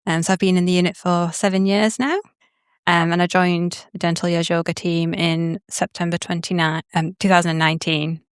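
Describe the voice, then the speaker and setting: A woman's voice: on the low side, little pitch movement, normal speed, clear, resonant tone, dry recording, studio quality. A woman research fellow introducing herself in a podcast interview, somewhat hesitant and modest, with many fillers.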